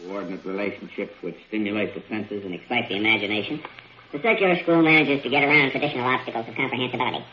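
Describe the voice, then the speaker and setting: Casting: sped-up lecture record in a radio play, extremely fast, echoey, muffled vintage sound. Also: male voice, medium pitch, fairly even intonation, slight background noise. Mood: droning. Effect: sped-up recording playback. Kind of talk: rapid recited lecture, 1950s radio acting.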